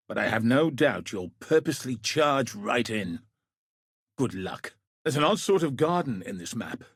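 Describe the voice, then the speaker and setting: An actor playing a gruff, dutiful military commander in a video game, with a husky, rough-edged voice and a grave, dry, clipped delivery. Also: male voice, medium pitch, dry recording. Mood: grave, wary, then dry, businesslike. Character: gruff, dutiful male military commander sending a warrior into danger. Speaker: video game character, quest giver. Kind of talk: scripted video game voice acting.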